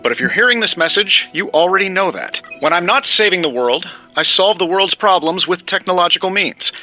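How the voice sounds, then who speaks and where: An actor playing a flippant superhero inventor in a video game cutscene, sarcastic and chatty with nervous bravado and hesitant asides. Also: male voice, sits high, lively intonation, brisk, exaggerated voice. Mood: breezy, self-assured. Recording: loud music underneath. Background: music.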